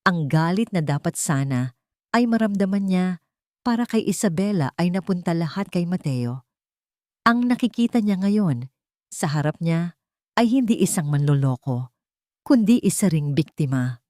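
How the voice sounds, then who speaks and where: Low, mellow Filipino woman storyteller. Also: animated, moderate pace, long pauses, dry recording, clean studio sound. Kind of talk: dramatic scripted narration of a romance story.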